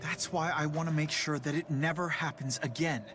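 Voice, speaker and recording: Earnest, higher-pitched young game hero, acted by a man. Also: fairly even intonation, moderate pace, lightly acted. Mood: regretful. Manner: polite, sincere, soft-hearted. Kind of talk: video game cutscene voice acting. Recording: game music behind the voice.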